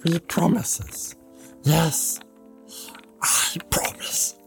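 A man voicing a small, wretched, obsessive creature with a hissing, whining, rasping voice that breaks into hoarse whispers, in a storybook reading. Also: on the high side, fairly even intonation, soft background music, pitched above the performer's own voice, full character voice. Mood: fawning. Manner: whining, wheedling, drawn-out, repetitive speech.

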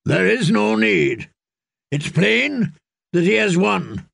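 A man puts on a proud warrior lord for an audiobook. He is blunt and grudging, speaking slowly in stern, formal phrases, with a raised pitch. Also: big pitch swings, vocal fry, fairly bright tone, husky, no echo, studio quality, heavily acted. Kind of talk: dramatic audiobook character voice.

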